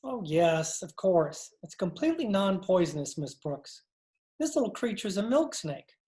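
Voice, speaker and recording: A mild, literal-minded biology teacher, acted by a woman in an old radio comedy. The voice is middle-pitched and earnest, captured over a remote call. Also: very animated, unhurried, warm tone, reverberant. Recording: remote video-call recording.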